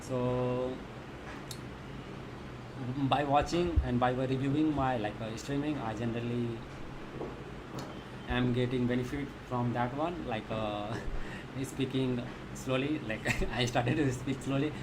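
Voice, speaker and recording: A man with an Indian accent, a native Thethi speaker, chats casually on a coding livestream in halting, repetitive English, searching for his words. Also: medium pitch, fairly even intonation, noisy background. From Magadha region of India. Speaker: live coding streamer.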